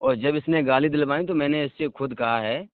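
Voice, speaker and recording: Man, slightly high-pitched, insistent and self-justifying in conversation, captured on a dry, tinny phone line. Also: normal speed. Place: phone call. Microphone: telephone.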